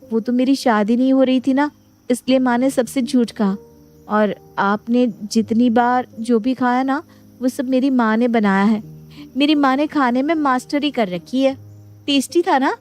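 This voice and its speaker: A woman's voice: sits high, unhurried, darkish tone. Woman acting a naive young Indian bride. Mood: innocent. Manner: innocent, artless. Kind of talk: Hindi moral story cartoon voice acting.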